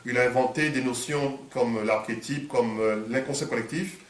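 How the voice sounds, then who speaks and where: Hesitant man with a head cold. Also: mid-range voice. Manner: halting, many fillers and restarts. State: congested from a cold. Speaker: interviewer. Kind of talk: hesitant conversational interview. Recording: echoey room, distant mic.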